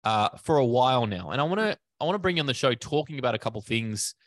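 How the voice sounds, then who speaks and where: A man's voice: medium pitch, expressive, quick pace, dry recording, clean studio sound. A man hosting a fitness business podcast, talking casually with many fillers and restarts. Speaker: podcast host. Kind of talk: casual interview.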